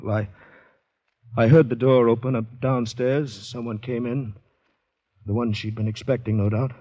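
An actor playing a distraught, haunted man in a 1940s radio drama, telling his story in breathless, halting dramatic phrases. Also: medium pitch, steady tone, dark tone, close to an everyday voice. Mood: shaken. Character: distraught haunted man recounting finding a murdered woman. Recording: muffled old radio broadcast recording.

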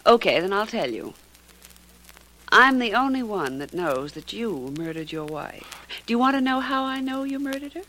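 Bold secretary, crisp sharp-edged tone, shrewd, vintage radio crime drama. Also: female voice, fairly high pitch, big pitch swings, normal speed, low-quality recording. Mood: cool, taunting. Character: bold, shrewd female secretary posing as blackmailer. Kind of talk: 1940s radio drama acting. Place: radio studio.

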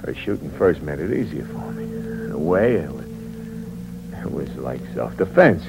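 An actor playing a cold-blooded, cynical killer in a 1940s American radio thriller, terse and slangy. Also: male voice, a bass voice, sing-song, unhurried, creaky, loud music behind the voice, exaggerated voice. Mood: grim, confiding. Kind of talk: 1940s radio thriller acting, first-person hardboiled narration. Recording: muffled old radio recording with hum and hiss.

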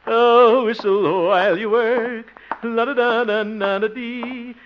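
A man's voice: very high-pitched, fairly even intonation, slow pace, soft background music. An actor playing a blustery, self-satisfied, jovial man in 1950s radio comedy, theatrical and full of chuckles and exclamations. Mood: smug, cheerful. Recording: muffled, noisy vintage radio recording.

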